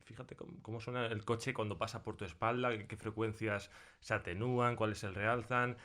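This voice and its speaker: A man with an accent from Spain, a sound designer explaining his work conversationally in a thoughtful, halting way. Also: lowish voice, fairly even intonation, fairly bright tone. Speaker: sound designer being interviewed.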